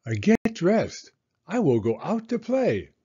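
An older man reads a picture book aloud for young children, his voice gentle and lively, rising and falling with the story. He takes it slowly, with a mellow, slightly husky tone. The recording is a little dull and lo-fi. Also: high voice. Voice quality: gentle, expressive storyteller reading in a slow, sing-song way. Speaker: storytime host, retired-age teacher reading aloud. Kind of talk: children's read-aloud narration.

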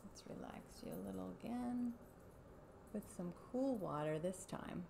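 Soft-spoken ASMR woman with creaky voice. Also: mid-range voice, animated, background noise. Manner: soft, gentle, unhurried personal attention. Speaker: ASMR host playing a barber giving a facial. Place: room in a home.

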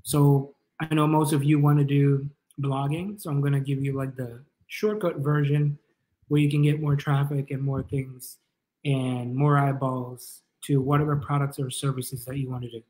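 A man's voice: medium pitch, flat delivery, normal speed, darkish tone, rough recording. A man in his thirties with a Jamaican accent, giving a casual live tutorial in an informal, encouraging, rambling way. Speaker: online business coach and livestream host. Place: kitchen or living room at home, indoors.

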